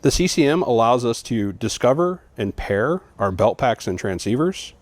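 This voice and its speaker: A man's voice: medium pitch, no room sound. A man giving a scripted, methodical product walkthrough in an instructional way, as a trainer would.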